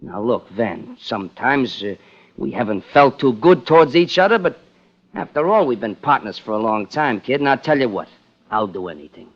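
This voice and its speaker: Slangy hardboiled schemer, muffled old radio. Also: male voice, medium pitch, big pitch swings, moderate pace. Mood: persuasive. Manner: slangy, tough, offhand. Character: scheming, coolly deceitful killer narrating, pretending to be loyal pal. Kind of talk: 1940s radio crime drama acting, hardboiled narration.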